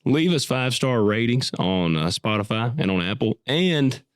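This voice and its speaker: A man and farmer co-hosting a casual podcast, sick with a cough and a deeper voice than usual, blunt and joking. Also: expressive, moderate pace, clean tone, dry recording, clean studio sound. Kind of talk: casual chat.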